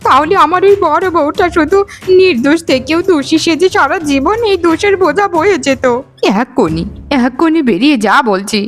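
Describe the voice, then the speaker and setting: A woman's voice: high-pitched, lively intonation, normal speed. A woman playing an elderly, righteous mother-in-law in a cartoon, with a dramatic manner that repeats words for emphasis. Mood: outraged, scolding. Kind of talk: Bengali animated moral-story voice acting.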